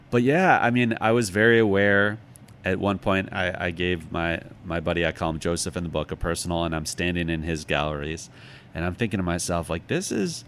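Low-voiced, thoughtful middle-aged man. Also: a bit bright, smooth. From United States, Chicago. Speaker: interview guest, author. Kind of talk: reflective conversational interview answers.

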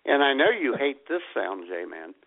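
A man's voice: mid-range voice, very animated, unhurried, gravelly. A man co-hosting a radio show with casual comedic banter. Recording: tinny phone-like sound.

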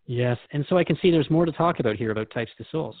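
A man's voice: fairly high pitch, expressive, fast, dry recording, narrow, tinny audio. A man hosting a conversational interview, asking questions in a wry, candid way. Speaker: host and questioner.

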